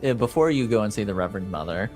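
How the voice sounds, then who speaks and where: Halting male game master with music behind. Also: lowish voice, expressive, darkish tone. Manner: halting, pausing mid-sentence. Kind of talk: narrating a tabletop roleplaying game, conversational.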